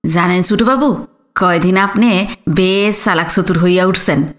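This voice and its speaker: A woman's voice: lowish voice, a little slow, voice pushed down, close to an everyday voice. A woman voicing an eager young male village servant with a rustic East Bengali accent and deferential, folksy manner in an audio story. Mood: admiring, conspiratorial.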